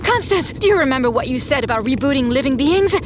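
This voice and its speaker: A woman's voice: sits high, animated, fast talker. A woman playing a fiery, hot-tempered, blunt and outspoken heroine in an audio drama. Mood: furious. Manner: forceful, blunt, exasperated. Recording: clear noise behind the voice.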